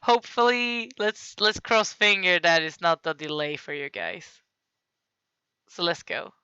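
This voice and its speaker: A woman with a Swedish accent chats her way through fan videos on a live stream, slow and unhurried, laughing and apologizing as she stumbles over names. Her voice is bright and forward. The dry, dull mic makes it sound like a lo-fi home setup. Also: lowish voice, animated, smooth, no echo. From Sweden. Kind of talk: casual live-stream commentary.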